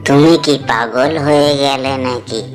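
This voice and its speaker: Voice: medium pitch, steady tone, a little slow, faint music behind, lightly acted. A performer voicing a nagging, anxious female monkey wife and mother in a children's cartoon. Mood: worried, doubting. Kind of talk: cartoon dialogue.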